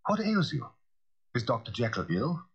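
A man acting a concerned, high-pitched gentleman lawyer. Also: sing-song, normal speed, gravelly, slightly rough, heavily acted. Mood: surprised. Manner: formal, questioning, authoritative. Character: concerned, reasonable gentleman lawyer, brisk and proper. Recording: slightly dull, lo-fi audio.

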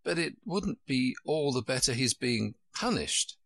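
A man's voice: medium pitch, sing-song, a little slow, vocal fry, fairly bright tone, dry recording, voice pushed up, exaggerated voice. A man voicing a polite, earnest young storybook girl who questions things in a puzzled, proper way. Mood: reasoning.

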